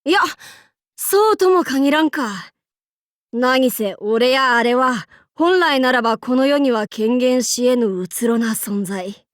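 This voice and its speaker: A woman's voice: high-pitched, expressive, slow pace, dry recording, studio quality. A woman voicing a bold, androgynous young demon-king warlord in an anime game, with boastful, archaic lordly speech and playful swagger. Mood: pensive. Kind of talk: anime game character voice lines.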